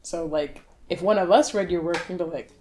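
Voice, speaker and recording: A woman's voice: lowish voice, fairly quick, mellow, slight background noise. A woman co-hosting a podcast, talking in casual, informal conversation. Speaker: podcast co-host. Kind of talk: casual chat.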